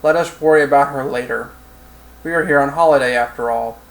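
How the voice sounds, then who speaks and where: A man's voice: medium pitch, fairly quick, creaky, close to an everyday voice, rough recording. A man voicing a teasing, commanding female general and political officer with a Russian accent in an audiobook story. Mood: playful, assured. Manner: teasing, sensual.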